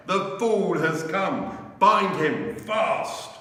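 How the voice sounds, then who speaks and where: In a cavernous, echoing space, a cruel witch queen played by a man calls out commands. The voice is raised high and loud, with words stretched out imperiously, as in a dramatic storybook reading. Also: big pitch swings, full character voice. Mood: scornful triumph. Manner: loud, drawn-out commanding cries. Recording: echoey church hall, far from mic.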